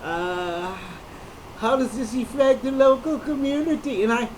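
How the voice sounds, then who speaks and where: A comedian, a man with a high voice for a man, telling a personal story in an earnest, halting way with frequent restarts. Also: slight background noise, rough recording. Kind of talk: personal talk to camera.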